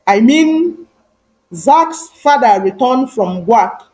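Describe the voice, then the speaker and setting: A woman narrating a moral folktale in a solemn, deliberate, emphatic and dramatic style. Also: on the high side, animated, a little mellow. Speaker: storyteller.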